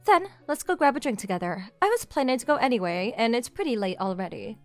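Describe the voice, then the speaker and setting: Woman playing lively, confident young female CEO. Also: on the high side, fast talker, faint music behind. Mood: confident, teasing. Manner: straightforward, teasing, self-assured. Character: confident, pushy young female CEO, teasing her junior. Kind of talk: manga dub romance acting.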